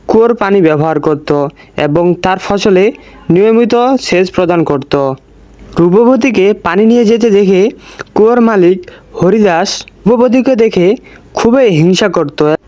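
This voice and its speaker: A man's voice: high voice, a little mellow, no echo. A man narrating a scripted village story for a cartoon as its storyteller.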